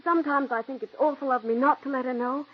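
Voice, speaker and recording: An actress voicing a young, stage-struck female stenographer who is adoring and eager, in 1940s radio acting style with a breathy, gushing way of talking. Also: high voice, steady tone, fast. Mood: pleading. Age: young adult. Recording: dull 1940s radio broadcast.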